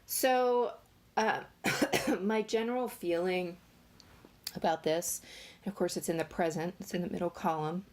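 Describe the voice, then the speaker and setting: A woman giving a casual tarot reading, talking in a nasal, congested voice with many pauses and fillers. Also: on the low side, fairly even intonation. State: congested from allergies. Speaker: tarot reader.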